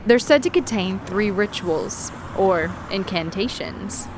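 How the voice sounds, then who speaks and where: A bookish history guide, exacting and a little curt, in an audio drama. Her medium-pitched voice lectures over faint city street noise. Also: lively intonation, slow. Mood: instructive. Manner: precise, lecturing, curt corrections.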